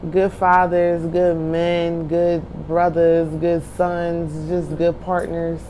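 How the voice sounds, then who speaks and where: A woman's voice: fairly low pitch, flat delivery, takes it slowly, warm tone, a little hiss or hum, poor audio. A woman in her thirties co-hosting a podcast, talking emphatically and repeating her lines for weight. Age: 30s. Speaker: podcast co-host. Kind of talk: casual chat.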